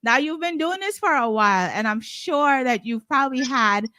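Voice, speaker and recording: Bright-voiced woman hosting an interview. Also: high voice, animated, moderate pace, no room sound, clean studio sound. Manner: encouraging, repeats "I like it". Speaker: interview host. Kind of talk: casual interview chat. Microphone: video call.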